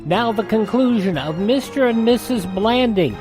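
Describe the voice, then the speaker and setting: A man's voice: high voice, animated, moderate pace, over music. A man hosting a radio show, reading sponsor spots and announcements in a chatty, folksy way. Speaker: radio host.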